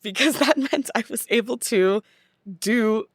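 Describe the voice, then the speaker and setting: A woman's voice: a little high, a little slow, no echo, clean studio sound. A young American woman telling stories casually and chattily as a podcast guest, as a touring theatre actress would. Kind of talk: casual conversational storytelling.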